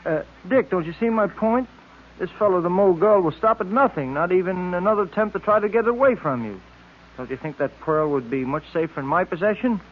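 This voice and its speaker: A man's voice: high-pitched, lively intonation, fairly quick. Earnest, stammering male sidekick, easily flustered, old radio drama, tinny with faint hiss. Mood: troubled, urgent. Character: anxious, befuddled male sidekick of a detective. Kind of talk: 1940s radio drama acting.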